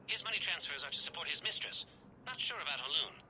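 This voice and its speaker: A man playing a brisk intelligence operative in a video game. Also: a little high, expressive, lightly acted. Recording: distant, echoing hall with crowd murmur.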